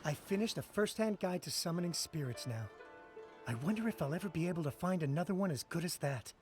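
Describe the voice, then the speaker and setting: A man playing a high-voiced bookish townsman. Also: lively intonation, fast, over music, natural voice. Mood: wistful. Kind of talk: video game character acting.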